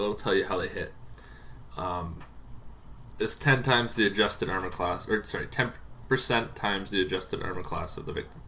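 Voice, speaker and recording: A man with a fairly low but bright, forward voice talks through tabletop game lore. His delivery is informal and conversational, drifting into little asides. There is faint noise behind him. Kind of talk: informal explainer monologue.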